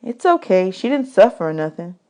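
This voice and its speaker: A woman's voice: mid-range voice, very animated, brisk, mellow. A woman voicing a polite, earnest young orphan boy in a storybook, in a plain folksy manner.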